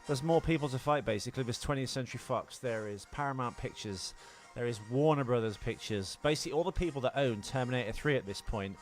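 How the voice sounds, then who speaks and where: A man's voice: mid-range voice, quick pace, hardly any pauses, soft background music. A man co-hosting a podcast in casual, rambling banter with some swearing. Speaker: podcast co-host.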